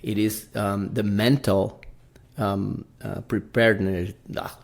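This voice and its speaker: A man's voice: on the low side, flat delivery, slow, gravelly. A man from Brazil with a Brazilian accent, hosting a casual solo podcast and rambling, often tagging his sentences with "right?". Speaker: podcast host.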